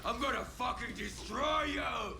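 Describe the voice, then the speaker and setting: An actor playing an enraged, foul-mouthed man in a video game, shouting and spoiling for a fight. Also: very high-pitched, a little slow, vocal fry, exaggerated voice. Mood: furious. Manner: shouting, sweary. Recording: echoey, loud background noise, off mic.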